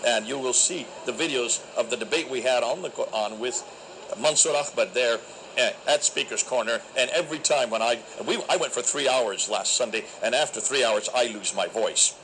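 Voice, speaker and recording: A man recounting a debate in an animated, emphatic, preacherly way, with a naturally loud voice. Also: medium pitch, normal speed, slight background noise, poor audio. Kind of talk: storytelling talk.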